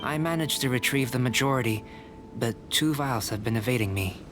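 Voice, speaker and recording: A man voicing a polite, composed young male alchemist who speaks in a measured, gently teasing way, heard over game music. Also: mid-range voice, natural voice. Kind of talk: game voice acting. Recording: studio game audio with music behind. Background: clear music.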